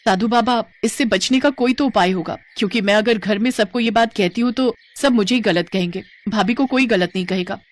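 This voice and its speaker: A woman voicing a gentle, troubled young daughter-in-law in a moral story, speaking earnestly and respectfully. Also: mid-range voice, a little fast, hardly any pauses, natural voice. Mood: distressed.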